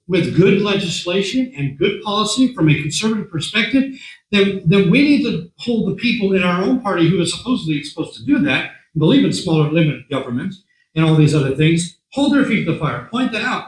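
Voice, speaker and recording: Hoarse man speaking to a meeting. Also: high-pitched, lively intonation, brisk, reverberant, low-quality recording. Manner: conversational, repeats words, clears throat often. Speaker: guest speaker. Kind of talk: talk to a meeting. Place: meeting room.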